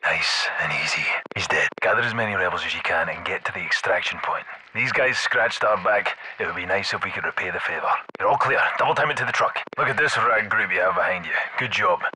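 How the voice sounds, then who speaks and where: British special forces soldier, gruff and bossy, short clipped combat orders, noisy game audio. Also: male voice, low-pitched, a little fast, few pauses, bright, forceful tone. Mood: hushed, tactical. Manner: terse, clipped military orders. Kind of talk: video game combat barks.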